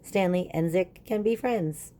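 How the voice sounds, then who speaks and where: A woman's voice: on the low side, unhurried, warm tone, voice pushed up, lightly acted. A woman voicing a friendly green alien in a children's storybook, formal and earnest, with stilted speech. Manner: stilted speech naming himself in third person.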